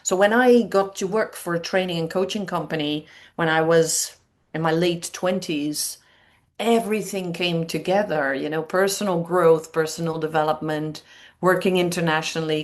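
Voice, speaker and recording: A woman in her fifties with a Dutch accent, a native Dutch speaker, giving reflective interview answers as a coach, often pausing between phrases. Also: deep voice, steady tone. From Netherlands. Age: 50s. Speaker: interview guest, training coach.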